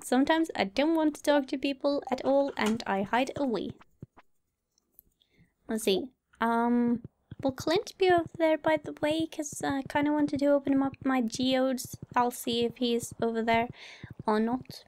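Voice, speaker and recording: High-voiced woman chatting through a game. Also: unhurried, a little mellow. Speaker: gaming video host. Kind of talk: casual let's play commentary, reading dialogue aloud. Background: game music.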